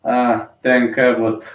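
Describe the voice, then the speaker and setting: A man's voice: medium pitch, echoey room, distant mic, dull audio. A man from Bulgaria with a Bulgarian accent, casually commentating a live fight and rambling with many fillers and restarts.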